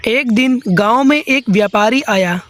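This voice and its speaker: Storyteller voice narrating a cartoon fable, scripted, middle pitch. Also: measured pace, fairly bright tone.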